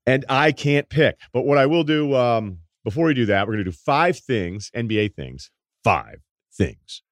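A man with a deep voice hosting a podcast, telling rambling stories in casual chat and laughing at his own anecdotes. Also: lively intonation, normal speed, no room sound. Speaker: podcast host.

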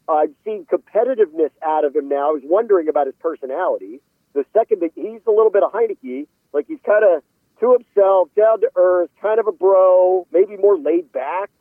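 Male sports reporter guest on a phone-like line, middle-aged, slightly high voice, casual analysis. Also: no room sound. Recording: tinny narrow phone-like line.

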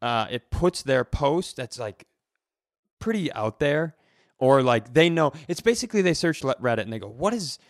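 Animated man chatting on a podcast. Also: medium pitch, normal speed, no echo, clean studio sound. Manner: rambling, profane, emphatic. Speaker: podcast co-host. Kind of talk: casual comedic podcast chat.

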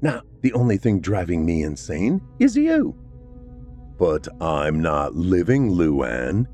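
A man's voice: sits low, huge pitch swings, slow, warm tone, soft background music, heavily acted. A man voicing a brooding, self-pitying vampire man three centuries old, melodramatic and anguished.

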